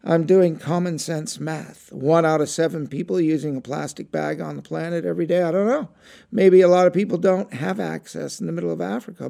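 Slow, mellow-voiced man musing earnestly. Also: a little high, creaky. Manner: earnest, rambling, slow and thoughtful. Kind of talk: casual reflective monologue.

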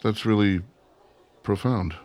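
A man's voice: lowish voice, takes it slowly, darkish tone. A man in a radio play playing a guarded, awkward lone traveler who speaks tersely and hesitantly. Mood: awkward, impressed.

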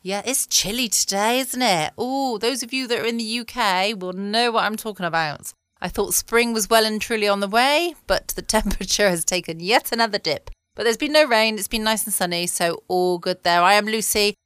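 A woman's voice: medium pitch, normal speed, few pauses, clean tone, no echo. Chatty woman podcast host. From England. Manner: chatty and relaxed, with small laughs. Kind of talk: casual late-night podcast chat. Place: at home.

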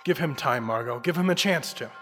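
Bright, bold radio detective played by a man. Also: medium pitch, animated, a little fast, close to an everyday voice. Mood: calm, determined. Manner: confident, clipped, theatrical. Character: bold, suave crime-fighting male investigator. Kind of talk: old-time radio drama acting. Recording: long reverb with faint music.

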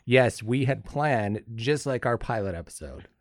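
A man co-hosting a casual chat podcast, his voice a little hoarse. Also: medium pitch, animated, a little slow, no room sound, clean studio sound. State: slightly hoarse. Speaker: podcast co-host. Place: studio.

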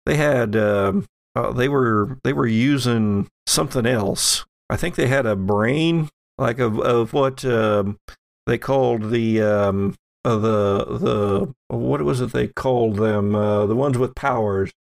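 Guy doing a folksy shapeshifter dude, gravelly, keeps stammering and rambling, super polite. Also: mid-range voice. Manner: halting, rambling, folksy, apologetic. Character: Jake, hesitant folksy doppelganger man, polite and rambling. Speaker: game master.

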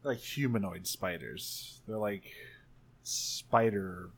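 A man's voice: lowish voice, takes it slowly. A man leading a tabletop roleplaying game in casual talk, thinking aloud as he goes. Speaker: player and game leader in an actual play podcast.

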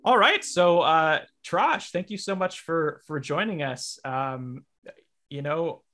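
A man's voice: a little high, expressive, slow, sharp tone. A man hosting a casual comedy podcast, talking in a hesitant, rambling way as he interviews. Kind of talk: casual improvised comedy chat.

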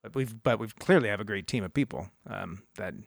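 A man's voice: lowish voice, a little fast, vocal fry, dry recording, studio quality. Rambling middle-aged man hosting radio. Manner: rambling, restarts often, laughs easily. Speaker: radio show host, financial advisor. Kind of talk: casual radio talk.

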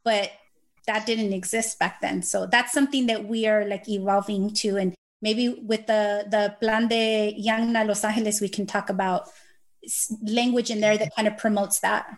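A woman's voice: medium pitch, steady tone, moderate pace. A woman guest speaking about teaching in a hesitant, upbeat way, full of fillers and restarts. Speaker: guest, ethnic studies educator. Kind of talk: casual conversation.

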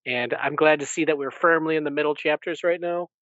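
Low-fi, dry-sounding man chatting casually. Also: fairly even intonation, fairly quick, a bit bright, dry recording, lo-fi sound. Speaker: podcast co-host. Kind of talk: casual book recap discussion.

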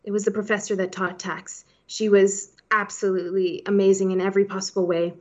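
A woman in her thirties talks as an interview guest in a conversational, chatty way, padding sentences with "you know". Her pitch is average, and the sound is somewhat dull and lo-fi. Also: level tone, brisk, darkish tone. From Switzerland-born, raised in Hong Kong. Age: 30s. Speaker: podcast guest, startup founder.

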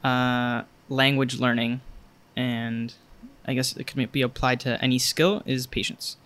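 Bright, clear-voiced young man. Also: mid-range voice, a little slow. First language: Spanish. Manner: relaxed, thoughtful, explaining with examples. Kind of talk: casual conversation.

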